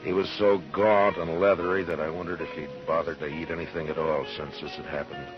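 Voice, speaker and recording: An actor playing a firm but humane frontier marshal in an old radio western, measured, grave and plainspoken. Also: male voice, very deep voice, little pitch movement, music in the background. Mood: somber narration. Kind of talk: old-time radio western acting and narration. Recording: muffled old radio recording.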